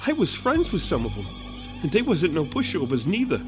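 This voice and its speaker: A high-pitched cowed killer bus driver from a horror audio drama. His voice jumps wildly up and down as he stammers and swears in rough, uneducated speech. Loud music plays behind him. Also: huge pitch swings, a little fast. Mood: nervous disbelief. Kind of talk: horror audio fiction acting.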